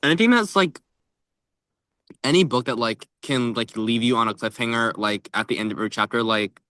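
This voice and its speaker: A man's voice: mid-range voice, a little fast, sharp tone, no room sound, studio quality. A young man co-hosting a casual book chat, blunt and emphatic, with "like" peppered through his sentences. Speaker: book podcast co-host.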